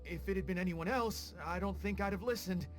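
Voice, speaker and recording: Game minor rogue, male actor: fawning, excitable, earnest, hesitant underling, over a music bed. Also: high voice, fairly quick, close to an everyday voice. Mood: pleading, grateful. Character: eager, deferential male rogue seeking to go straight. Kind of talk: video game voice acting.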